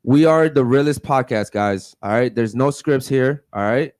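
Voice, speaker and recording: A man hosting a podcast, talking loose and hyped, swearing freely. His voice is dry and close, with no room echo. He sits at a middle pitch. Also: moderate pace, a little mellow. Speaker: podcast host. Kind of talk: casual podcast chat.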